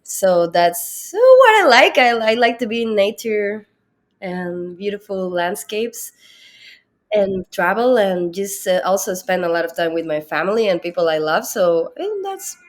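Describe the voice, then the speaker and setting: A young Venezuelan woman with a Spanish accent, a guest in an interview, easygoing and giggly, pausing often with uhs as she searches for English words. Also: medium pitch, sing-song. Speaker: podcast guest. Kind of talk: casual interview chat.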